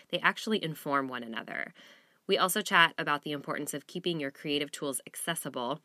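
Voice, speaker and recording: A low-pitched woman with a crisp, bright tone hosts her podcast in a chatty, self-deprecating way. She has a slight cold. The sound is close and dead dry, with no room at all. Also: no room sound. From Seattle area. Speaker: podcast host. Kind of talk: casual podcast intro and interview. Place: small walk-in closet.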